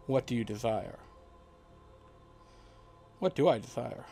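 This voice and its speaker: A man's voice: mid-range voice, animated, slow, creaky, warm tone, a little hiss or hum. Man, let's play host, casual gameplay commentary, close microphone.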